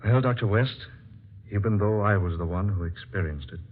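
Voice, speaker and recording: A man's voice: fairly low pitch, normal speed, close to an everyday voice. A man acting an earnest, dignified scientist with formal, theatrical phrasing in an old-time radio drama. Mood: earnest, marvelling. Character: earnest, dignified male scientist doctor, hero in love. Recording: muffled, noisy old radio recording.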